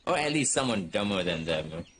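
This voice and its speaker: A man's voice: low voice, sing-song, normal speed, fairly bright tone, a little hiss or hum. A middle-aged man chatting casually and wryly as he streams a game, rambling along. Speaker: gaming streamer. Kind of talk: casual chat over gameplay.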